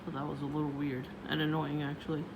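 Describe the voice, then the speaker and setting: A woman vlogging casually about her day in a low voice, meandering with many fillers and restarts. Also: flat delivery, fast talker, highs cut off. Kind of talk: casual rambling vlog. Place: outdoors, windy. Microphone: phone. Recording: noisy phone recording.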